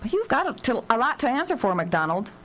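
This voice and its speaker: A woman's voice: a little high, very animated, fairly quick, fairly bright tone. A woman playing a wry, authoritative small-town sheriff in a live radio drama. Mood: measured, firm. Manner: dry, authoritative. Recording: noisy live radio broadcast, hum.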